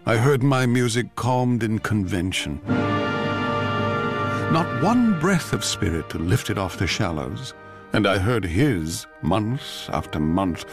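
An envious, ageing composer making a theatrical radio-drama confession. His low-pitched voice swoops widely as he broods in anguish, and the delivery is slow and measured. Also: very animated, music in the background. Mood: brooding, anguished. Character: bitter ageing male court composer, anguished, envious, confiding. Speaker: actor reading a stage play as narrator. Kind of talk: dramatic scripted monologue for radio.

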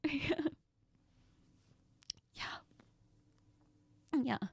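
A woman playing a heartbroken young woman crying to her best friend in an intimate audio role-play, halting and apologetic, often slipping into whispers. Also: no echo, close to an everyday voice. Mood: shaky, holding back. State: crying, tearful, sniffling.

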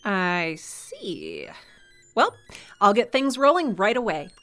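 A woman playing a warm, unflappable CIA officer in an audio drama, breezy and assured. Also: medium pitch, wildly swooping pitch, slow pace, faint music behind, full character voice. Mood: determined, affectionate.

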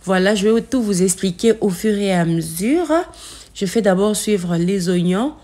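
A woman in her thirties, a home vlogger, chatting slowly through a kitchen tour and cooking steps, often pausing with "euh" and using English words for food. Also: mid-range voice, dark tone.

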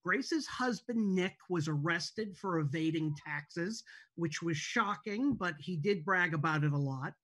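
A woman giving an informal spoken introduction on a video call, speaking in a hesitant, halting way. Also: on the low side, lively intonation, fairly bright tone, breathy edge. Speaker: TV show creator introducing a table read. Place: indoors at home on a video call. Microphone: video call microphone.

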